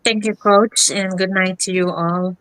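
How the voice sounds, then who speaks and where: A woman teacher from Bougainville giving a polite, steady self-introduction on a video call. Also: medium pitch, a little slow, no room sound, poor audio.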